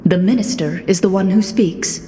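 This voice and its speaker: A woman's voice: mid-range voice, normal speed, warm tone, slight background noise, close to an everyday voice. A woman performing scripted fantasy narration as a game master setting a scene. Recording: echoey long reverb, music behind. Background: music.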